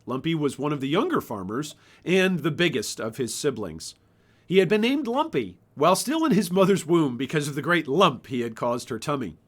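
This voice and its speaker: Male author-host, 30s, slightly high voice, storybook read-aloud and informal book presentation. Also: big pitch swings, moderate pace.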